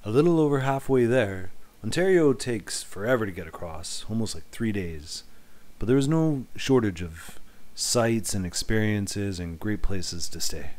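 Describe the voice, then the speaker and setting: A man in his forties narrating a casual travel vlog and chatting at a campsite, relaxed and quick to laugh. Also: lowish voice. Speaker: vlogger. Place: outdoors at a campsite picnic table. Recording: outdoor noise with insects.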